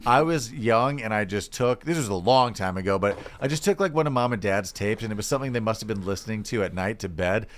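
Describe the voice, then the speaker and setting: A man's voice: fairly low pitch, fast talker, few pauses, slight background noise. A man co-hosting a podcast in casual chat, talking in an informal, rambling way. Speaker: podcast co-host.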